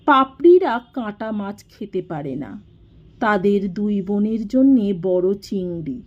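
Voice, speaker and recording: Slow-paced woman storyteller, slightly muffled. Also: medium pitch, very animated. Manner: measured, dramatic storytelling with acted dialogue. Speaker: audio story narrator. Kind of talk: expressive scripted literary narration.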